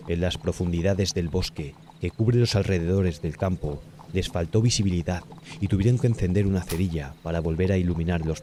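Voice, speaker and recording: A man's voice: deep voice, noisy background. A man with a Castilian Spanish accent narrating a scripted horror story as an audiobook.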